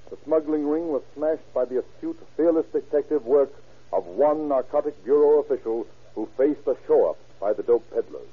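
A man's voice: medium pitch, breathy edge, lightly acted. A man narrating an old radio crime drama in a grave, formal, moralizing manner. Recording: tinny old radio recording.

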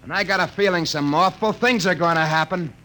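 An actor playing a harried, sardonic maintenance chief with an American accent and slangy, blunt delivery in an old radio drama. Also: male voice, high voice, brisk, over loud music, natural voice. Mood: alarmed, urgent. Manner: slangy, wisecracking, urgent. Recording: noisy old radio recording.

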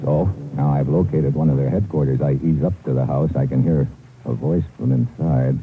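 An actor playing a tough, cool-headed undercover agent in a vintage radio adventure, talking in clipped, hard-boiled slang. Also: male voice, extremely low pitch, moderate pace. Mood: tense narrating. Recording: tinny, noisy old radio recording.

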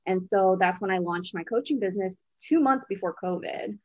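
A woman from Brazil in her thirties talks as a guest on a podcast, animated and chatty, laughing easily. Her voice sits in a middle range, recorded dry and close. The sound is a little dull and lo-fi. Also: mid-range voice, moderate pace, no echo. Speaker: money coach, guest. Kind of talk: casual interview storytelling.

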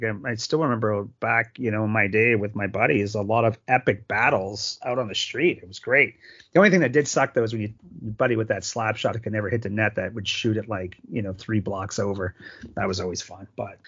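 A man's voice: medium pitch, fairly bright tone, breathy edge, highs cut off. A man co-hosting a hockey podcast, chatty and rambling as he tells stories. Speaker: podcast co-host. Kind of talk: casual hockey podcast chat.

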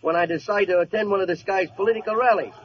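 A man's voice: high voice, quick pace, lightly acted. An actor playing a blustering, indignant small-time hoodlum boss in a 1940s radio comedy, talking in tough-guy slang. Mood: rueful storytelling. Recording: muffled, noisy old radio broadcast.

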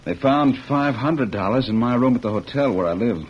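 An actor playing a wronged young cowboy ex-convict in a vintage radio western, speaking plainly and earnestly. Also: male voice, mid-range voice, animated, normal speed, slightly rough. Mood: bitter, earnest. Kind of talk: 1940s-50s radio western acting. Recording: muffled, hissy old radio recording.

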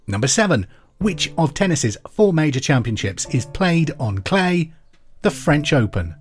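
Male quiz host, reads questions twice, clear delivery, faint music under. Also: mid-range voice. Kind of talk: pub quiz podcast hosting.

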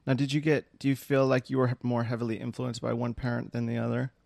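A man interviewing a guest, asking hesitant questions with soft murmured acknowledgements. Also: mid-range voice, little pitch movement, fast talker, gravelly, no room sound, studio quality.

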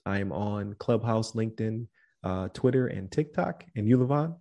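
Slightly low-voiced, tiring male podcast host. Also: normal speed, highs cut off. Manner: relaxed and rambling, many fillers, reads out the show's links at the close. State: voice starting to give out. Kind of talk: casual chat.

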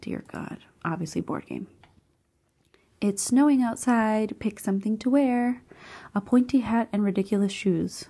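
A woman vlogging in a hushed half-whisper, slightly hoarse, reacting to quiz questions with bursts of excited disbelief. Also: medium pitch, a little slow. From Cuban family background. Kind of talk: casual chat, reading quiz questions aloud.

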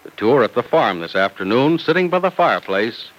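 A man's voice: medium pitch, very animated, brisk, highs cut off. A man announcing in scripted mid-century American radio style, polished and emphatic like a salesman. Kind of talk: 1940s-50s radio announcing, scripted pitch. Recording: vintage radio transcription.

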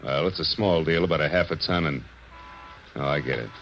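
A man's voice: very deep voice, a little fast, a bit bright, a little hiss or hum. An American man playing a hard-boiled, sardonic private detective in a 1940s radio noir drama, with terse, wisecracking delivery. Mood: tough. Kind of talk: 1940s radio noir acting and first-person narration. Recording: muffled vintage radio recording with music.

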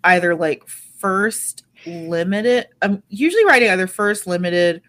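Low-voiced casual woman podcaster. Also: expressive, unhurried, fairly bright tone. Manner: casual, self-deprecating, swears lightly. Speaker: podcast co-host. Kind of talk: casual chat.

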